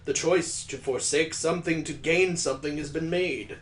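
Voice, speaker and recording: A man voicing a lofty older woman storyteller in a visual novel, speaking in a measured, lofty way. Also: on the high side, fairly even intonation, roomy sound, soft background music, natural voice. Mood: solemn, lofty.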